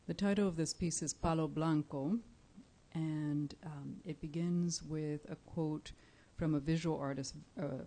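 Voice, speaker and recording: Slow, mellow woman poet. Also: deep voice, fairly even intonation. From Cuba. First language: Spanish. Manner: measured, deliberate, pausing often with soft fillers. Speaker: featured poet. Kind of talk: live poetry reading. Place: indoor live reading event.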